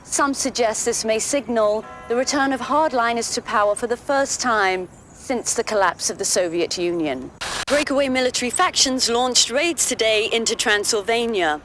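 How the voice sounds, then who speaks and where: A woman's voice: on the high side, moderate pace, fairly bright tone, natural voice. A woman playing a TV news anchor, reading formal news bulletins in a game cutscene, with noise behind her. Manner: crisp, formal news delivery. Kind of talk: scripted TV newscast. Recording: noisy background behind voice.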